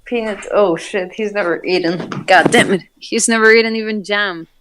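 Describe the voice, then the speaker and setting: A woman's voice: medium pitch, moderate pace, background noise. Female co-reader, informal and giggly, trips over words, curses, mixes story reading with joking asides. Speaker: co-host reading fanfiction. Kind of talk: reading fanfiction aloud with casual joking commentary.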